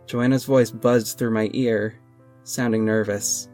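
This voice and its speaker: A man's voice: fairly low pitch, fairly even intonation, slow pace, a little mellow. An actor playing an earnest masked superhero who narrates his own adventures in an audio drama. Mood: earnest, dramatic. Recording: music under the voice. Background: music.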